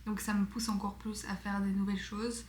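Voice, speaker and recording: A woman's voice: medium pitch, flat delivery, a little fast, a little mellow. A woman, chatting casually in a vlog with lots of fillers. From Belgium. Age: 20s. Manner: chatty, many fillers, enthusiastic. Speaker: vlogger. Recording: echoey room with faint noise.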